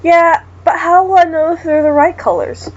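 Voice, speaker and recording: A woman's voice: extremely high pitch, expressive, moderate pace, muffled recording, pitched above the performer's own voice. A woman reading the lines of an anxious, self-doubting colorblind teenage boy in a horror game. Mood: worried.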